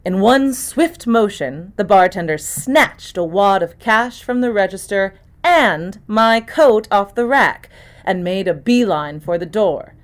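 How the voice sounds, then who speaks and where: A woman's voice: medium pitch, animated, slow pace. A woman reads a first-person mystery story in a mid-range voice. It is scripted audiobook narration, delivered by an actor as storyteller. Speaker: actor reading an audiobook chapter.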